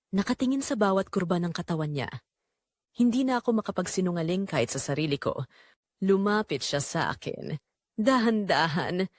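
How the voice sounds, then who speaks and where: A woman reading a scripted first-person audio drama in a dramatic, measured way with short pauses. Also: medium pitch, no echo, studio quality. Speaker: narrator.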